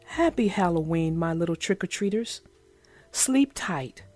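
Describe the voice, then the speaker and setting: A woman voicing a gentle, loving hippo mother in a children's storybook. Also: lowish voice, animated, measured pace, faint music behind, voice pushed down. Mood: tender.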